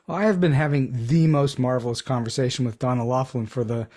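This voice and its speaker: A man hosting a podcast, asking a guest questions in a measured, explanatory way. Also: medium pitch, fairly even intonation, a little mellow. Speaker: podcast host. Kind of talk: interview questions.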